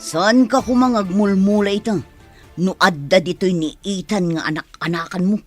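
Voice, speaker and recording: A woman acting in a radio drama as a resentful, sharp-tongued aunt with a blunt, complaining manner. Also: medium pitch, normal speed, soft background music, close to an everyday voice. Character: resentful, sharp-tongued aunt, jealous of her sibling's child.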